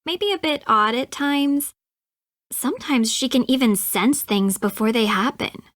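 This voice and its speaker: A woman's voice: sits high, a little slow, a bit bright, no echo, clean studio sound. An actress voicing a snippy, defensive young American woman in an adventure game, sarcastic, clipped and emphatic. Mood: worried, earnest. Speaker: video game character, voice actress. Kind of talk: acted dialogue in an adventure game.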